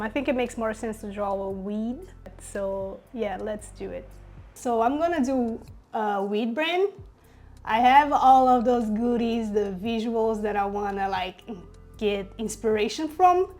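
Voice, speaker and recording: A woman's voice: on the high side, measured pace, a little mellow, faint music behind. A young woman from Brazil with a Brazilian Portuguese accent, chatting casually to camera about her design work, upbeat and full of fillers. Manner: chatty, upbeat, many fillers and little laughs. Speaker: designer vlogger. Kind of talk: casual talk to camera while working.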